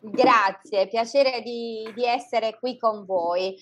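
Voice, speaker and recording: A woman's voice: a little high, a bit bright, soft background music. A woman with an Italian accent, whose first languages are Italian and German, speaking as an interview guest in hesitant English, with many fillers and Italian interjections. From Germany, to parents from southern Italy. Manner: halting non-native English, frequent fillers and Italian interjections. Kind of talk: conversational interview.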